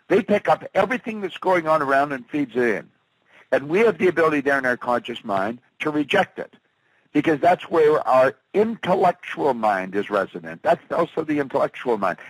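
A man giving a seminar lecture from slides, asking rhetorical questions and acting out quotes, swinging between loud emphatic bursts and soft asides. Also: medium pitch, breathy edge, no echo, poor audio. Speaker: motivational speaker.

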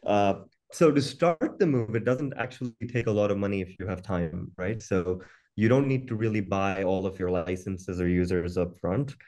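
Man, low pitch, informal webinar presenter, conversational, halting with repeated words. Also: darkish tone. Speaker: company founder presenting product. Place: indoors on a video call. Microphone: video call.

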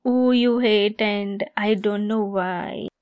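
A woman's voice: mid-range voice, steady tone, takes it slowly, a little mellow, no echo, studio quality, close to an everyday voice. A woman acting a giggly, teasing young woman fond of a longtime friend, in a romantic ASMR roleplay. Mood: soft, reminiscing.

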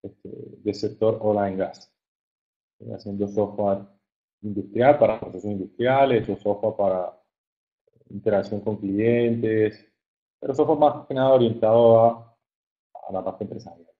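Low-voiced Colombian coastal man on muffled call. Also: steady tone. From Caribbean coast of Colombia. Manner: halting, repeats words while searching for phrasing. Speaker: webinar presenter, software engineer. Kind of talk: informal online presentation. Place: online webinar. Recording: muffled narrow-band online call audio.

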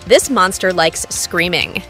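A woman narrates a scripted quiz as its host. Her delivery is lively, with pitch that moves around a lot, and music plays clearly behind her. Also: slow pace.

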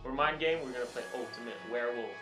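Deep-toned young female player, chatty, in a roomy space with music. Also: fast. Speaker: game player. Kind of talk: casual banter. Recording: echoey room, music behind.

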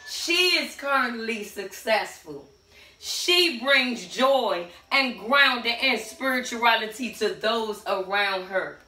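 Hoarse woman reading tarot, sassy and loud. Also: a little high. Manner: sassy, sing-song and preachy, calls out to spirit. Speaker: tarot reader. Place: small room at home by a door. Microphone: camera standing a few steps away. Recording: echoey room, far from the mic, faint music.

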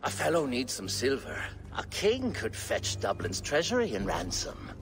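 A man's voice: medium pitch, huge pitch swings, normal speed, soft background music. A man playing an insolent, mocking Viking ruffian in a dramatic game cutscene. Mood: mocking, defiant. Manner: mocking, brazen.

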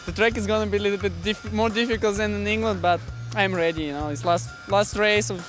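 High-voiced young man, chatty rider. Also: steady tone, fast, a bit bright, faint music behind. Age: young adult. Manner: chatty, many you know and like fillers. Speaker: motocross rider. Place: outdoor race paddock. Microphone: handheld mic held by interviewer.

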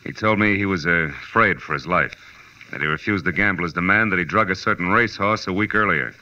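An actor playing a hardboiled American private detective, narrating in clipped, wry 1940s radio noir style. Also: male voice, low-pitched, fairly quick, sharp tone. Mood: matter-of-fact. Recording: muffled old radio recording with music.

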